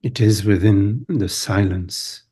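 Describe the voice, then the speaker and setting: A man guides a meditation in a low, very husky and breathy voice. His delivery is mellow and contemplative, broken into short phrases with long silences between them. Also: slow pace. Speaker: meditation teacher. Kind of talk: guided meditation, spoken softly to listeners.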